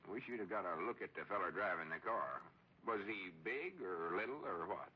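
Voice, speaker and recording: An actor playing a suspicious, self-important older small-town storekeeper with a drawling rural Southern accent in a 1940s radio comedy. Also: male voice, sits low, animated, moderate pace. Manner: drawling, folksy country phrasing. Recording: muffled, noisy old radio recording.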